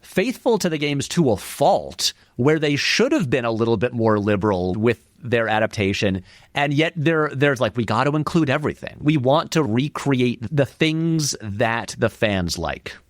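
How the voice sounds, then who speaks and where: A man's voice: medium pitch, big pitch swings, normal speed, few pauses, no room sound, studio quality. A man giving casual, discursive film commentary as an interview guest, explaining animatedly and peppering his talk with "like". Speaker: interview guest, filmmaker and video essayist.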